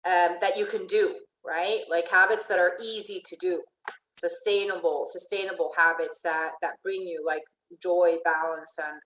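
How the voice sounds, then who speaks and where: A woman's voice: lowish voice, bright, forceful tone. Woman, life coach, grew up in Venezuela, chatty online presentation, restarts sentences, echoey room, video call. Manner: chatty, often restarts and asks "right?".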